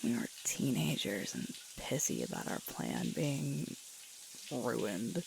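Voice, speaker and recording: Sweet, weary alpha werewolf girlfriend, female performer for ASMR roleplay: slow, breathy, murmured delivery with very wide pitch swings. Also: big pitch swings, exaggerated voice. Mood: wry, relaxed reminiscing. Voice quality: breathy, often dropping to a whisper. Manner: halting, intimate, with sighs and murmurs. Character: sweet, playful young alpha werewolf girlfriend, tired and sore. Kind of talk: intimate ASMR audio roleplay storytelling. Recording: loud hissing shower water throughout. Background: running shower water.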